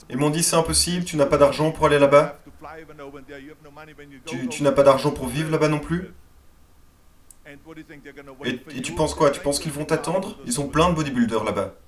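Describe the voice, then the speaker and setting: Earnest man dubbing a motivational speech. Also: fairly high pitch. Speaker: voice-over translator.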